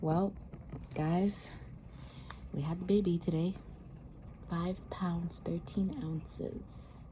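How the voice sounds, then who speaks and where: A tired young woman talking softly and quietly in a short video diary to her viewers. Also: fairly low pitch, steady tone, a little hiss or hum, poor audio. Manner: soft, hushed, unhurried. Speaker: vlogger.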